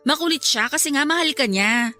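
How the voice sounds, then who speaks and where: A woman's voice: high voice, lively intonation, fairly quick, pushed and bright. A woman playing a frank, teasing younger sister in a Filipino radio drama, blunt and cheeky, with a Filipino accent. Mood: frank, scolding. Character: frank, teasing teenage younger sister, wiser than her age. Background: music.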